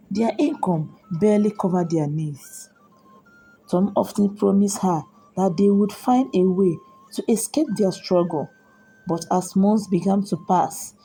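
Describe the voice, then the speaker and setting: A woman narrating a scripted folktale in a measured, deliberate way. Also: mid-range voice, mellow, slightly rough, poor audio. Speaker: storyteller. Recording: music behind the voice. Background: background music.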